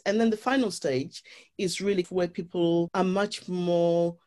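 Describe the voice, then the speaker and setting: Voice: medium pitch, steady tone, measured pace, a little mellow. A guest with a Zimbabwean accent, talking earnestly in an interview and explaining ideas step by step with many "you know"s. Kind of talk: reflective interview talk.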